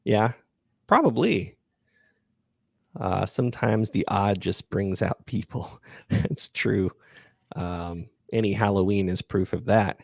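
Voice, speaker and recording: A man hosting a podcast livestream, chatting casually and answering listener questions in a halting, self-effacing way. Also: on the low side, animated, gravelly, darkish tone, no room sound, clean studio sound. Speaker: podcast creator and livestream host.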